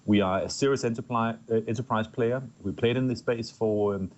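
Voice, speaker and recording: A man's voice: medium pitch, a little fast, highs cut off. A middle-aged man with a Danish accent, a tech executive answering interview questions earnestly, often restarting his phrases. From Denmark. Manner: earnest, with frequent restarts and repeated words. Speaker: tech company executive guest.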